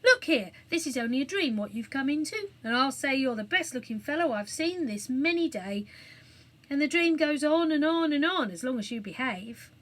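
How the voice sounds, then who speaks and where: A woman's voice: high voice, expressive, moderate pace, fairly bright tone, pitched above the performer's own voice. A woman reading aloud, voicing a bossy cook who rules as queen of an island.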